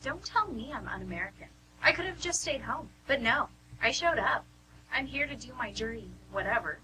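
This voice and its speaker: A woman's voice: a little high, lively intonation, normal speed, close to an everyday voice. A woman playing a bored, whiny, defiant young juror in an amateur radio play, talking in sarcastic slang. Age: young adult. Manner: complaining, sarcastic slangy talk. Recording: amateur radio, humming background noise.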